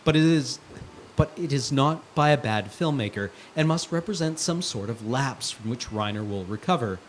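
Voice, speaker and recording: A man's voice: medium pitch, animated, moderate pace, slight background noise. A man giving an emphatic, exasperated dramatic reading of a written review. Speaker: guest reader on a charity livestream.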